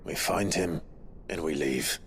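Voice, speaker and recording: A man's voice: a bass voice, a little slow, background noise. A man playing a grim, resolute fantasy hero in a video game, terse and grave. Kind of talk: scripted video game drama.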